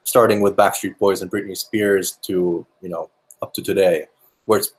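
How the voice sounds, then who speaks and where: A man's voice: low-pitched, fairly even intonation, normal speed, husky, rough recording. A young man whose first languages are English and Finnish, a musician giving thoughtful interview answers with many fillers and restarts. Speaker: musician guest.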